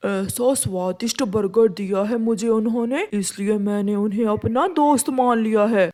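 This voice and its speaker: A woman's voice: medium pitch, normal speed, mellow, lightly acted. A woman voicing a gullible male fruit tree in a children's cartoon, simple, trusting and eager. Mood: dismissive. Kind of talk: Hindi children's cartoon voice acting.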